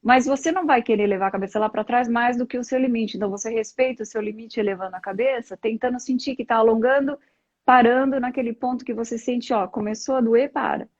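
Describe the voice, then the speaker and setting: A woman's voice: fairly high pitch, fast talker, a little mellow, no echo. A middle-aged Brazilian woman talking conversationally as a live interview guest, explaining things reflectively with examples. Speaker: interview guest, yoga practitioner. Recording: dull, lo-fi live stream audio.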